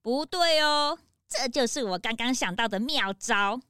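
A woman's voice: fairly high pitch, animated, measured pace, sharp tone, clear, resonant tone, no room sound, studio quality. A woman playing a cheerful, proud young animal sidekick detective in a children's audio drama, playful and confident. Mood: triumphant, confident. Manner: playful, confident, declamatory when deducing. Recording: music behind.

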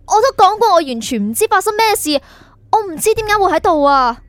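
Radio drama heroine, female actor: hunted, confused young woman, very high voice, fast, pleading, Hong Kong Cantonese accent. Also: animated, no room sound. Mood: pleading, insistent. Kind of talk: radio drama acting and first-person narration. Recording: music bed behind the voice.

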